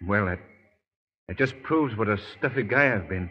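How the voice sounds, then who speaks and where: An actor playing an earnest, protective gentleman hero with an American accent, acted dramatically in 1930s radio style. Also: on the low side, lively intonation, normal speed, close to an everyday voice. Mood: grave, tender. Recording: muffled old radio recording with hall reverb.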